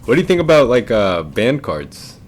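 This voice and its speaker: A man's voice: medium pitch, big pitch swings, moderate pace. An American man hosting a podcast with casual interview chat. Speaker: podcast host.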